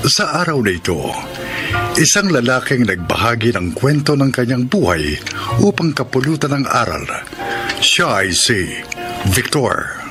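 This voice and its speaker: A man announcing a radio drama in a formal, measured, solemn style. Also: medium pitch, very animated, loud music behind the voice. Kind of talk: formal announcing, reading credits.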